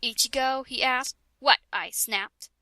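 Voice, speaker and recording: A woman's voice: sits high, measured pace, sharp tone, no room sound, studio quality. A young woman doing a comedic dramatic reading of parody fan fiction, stumbling over words and laughing as she goes.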